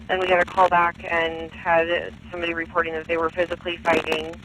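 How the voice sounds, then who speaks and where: A man dispatches police units over a noisy radio channel. His voice is high and sharp, with very little movement in pitch, and he relays details in a procedural, matter-of-fact manner. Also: completely flat delivery, brisk. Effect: police radio transmission. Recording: police radio with clear background noise.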